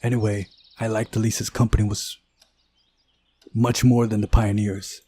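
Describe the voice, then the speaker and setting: A man's voice: lowish voice, flat delivery, normal speed, warm tone, husky, no echo. A man reading a visual novel aloud as the narrator of a playthrough. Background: music.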